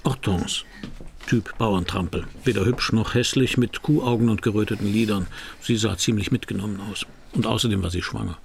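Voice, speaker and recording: A man playing a weary, wry private detective in a noir radio drama, speaking tersely and dryly with a gravelly voice. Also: on the low side, normal speed, slight background noise. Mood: wry, brooding. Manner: terse, laconic, dry. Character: weary, wry male private detective narrating his case.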